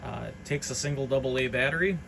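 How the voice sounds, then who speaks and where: A man's voice: mid-range voice, fast, creaky, noisy background. A man giving an informal, unscripted hobbyist product demonstration in a rambling, matter-of-fact way. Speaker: hobbyist radio reseller presenting a demonstration.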